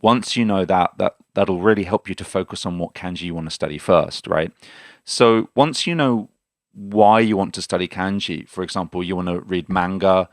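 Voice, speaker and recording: A man's voice: sits low, moderate pace, dry recording, clean studio sound. A man co-hosting a language-learning podcast, explaining study tips in a casual, step-by-step way. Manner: explains tips in plain, friendly steps. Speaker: podcast co-host, Japanese learner. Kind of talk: casual teaching chat.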